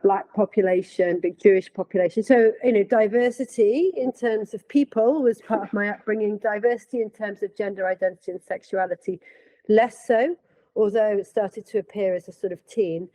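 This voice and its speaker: A woman's voice: medium pitch, moderate pace, dark tone, no echo, poor audio. A woman from Manchester, a parent guest reflecting on her upbringing in a podcast discussion. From Manchester, England.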